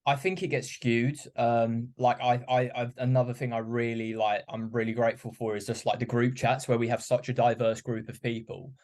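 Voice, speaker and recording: Male host, casual chat, flat even delivery, filler-heavy. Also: medium pitch, moderate pace, few pauses. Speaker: podcast host.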